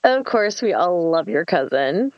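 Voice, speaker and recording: A woman's voice: fairly low pitch, sing-song, measured pace, no room sound, lo-fi sound. A woman acting a mean, bossy, scheming young woman in a horror script table read, with a sarcastic, entitled delivery. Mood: smug, sarcastic.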